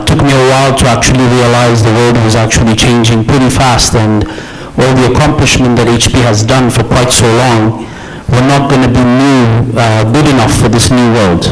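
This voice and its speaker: A man's voice: mid-range voice, background noise. A middle-aged man from Ethiopia who grew up in the US, giving an informal conference speech as an executive, often checking in with the audience. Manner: conversational, checks in with "yeah?" and "okay?". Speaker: company executive, conference speaker.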